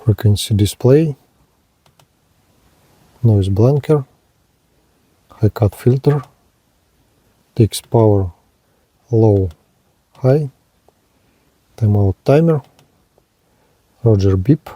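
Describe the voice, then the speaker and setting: A man giving a hands-on gadget demonstration as a reviewer, speaking in short, halting, item-by-item phrases. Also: lowish voice, warm tone, breathy edge, no room sound. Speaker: product reviewer, presenter.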